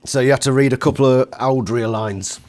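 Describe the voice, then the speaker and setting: A man's voice: medium pitch, a little fast, a little mellow. A man interviewing someone in a casual, chatty way, feeling out his questions as he goes.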